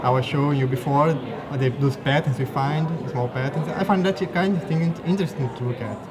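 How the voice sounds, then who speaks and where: A man's voice: medium pitch, brisk. A young man from Brazil, a graduate student, chatting in an interview with many restarts and self-corrections. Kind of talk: casual conversational interview. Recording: loud crowd noise behind. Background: crowd chatter.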